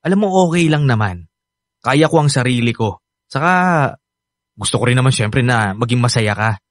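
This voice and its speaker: A man's voice: mid-range voice, animated, normal speed, dry recording, clean studio sound, natural voice. An actor playing an affectionate, eager young man with a Filipino accent in a radio drama, chatty and earnest. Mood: concerned. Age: young adult. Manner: earnest, chatty, laughs often.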